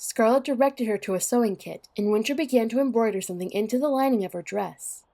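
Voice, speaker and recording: A woman's voice: a little high, fast, mellow. A woman narrating a scripted story for an audio drama.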